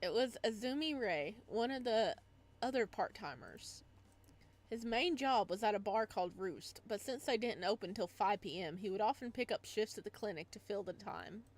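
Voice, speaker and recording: A woman's voice: mid-range voice, expressive, moderate pace. A woman hosting a playthrough, reading visual novel narration aloud expressively, with casual asides.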